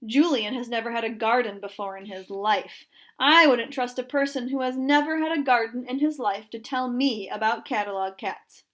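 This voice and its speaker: Woman as storybook dad, patient, mock-serious, measured explaining, high female register. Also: fairly bright tone, close to an everyday voice. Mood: firm, kindly. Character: calm, patient storybook father, gently teasing.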